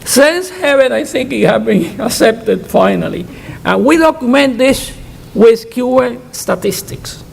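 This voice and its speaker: Older male panelist, raspy-gravelly and emphatic, with long hall reverb. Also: very high voice, expressive, a little slow. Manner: emphatic, frank, repeats words when restarting. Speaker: conference panelist, economist. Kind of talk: answering audience questions at an academic panel. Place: conference hall.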